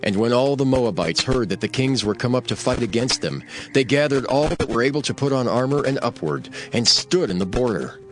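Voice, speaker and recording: Animated male scripture narrator with music. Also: mid-range voice, fairly quick. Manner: formal, solemn storytelling.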